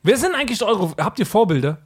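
A man guest on a podcast, a TV presenter, chatting casually in an animated, joking way. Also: high-pitched, fast, husky. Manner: animated, laughs often, joking.

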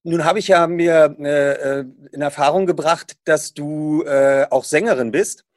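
A man's voice: on the high side, unhurried, no echo. A man conducts a friendly interview in a slightly raised voice. He stops often to search for words. The recording is close and dry. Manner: hesitant, lots of fillers. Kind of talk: casual interview.

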